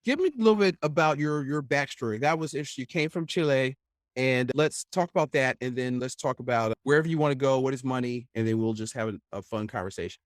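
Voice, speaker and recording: A man's voice: medium pitch, fast, no echo, clean studio sound. A man hosting a podcast interview, chatting casually in a rambling, opinionated way and often tagging his points with "right?". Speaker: podcast host.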